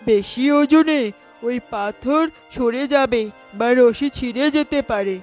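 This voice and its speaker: Man as a very high, slow-talking clever mouse. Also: darkish tone, faint music behind. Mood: advising, sly. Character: tiny clever trickster mouse, politely helpful. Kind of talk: children's cartoon fable acting.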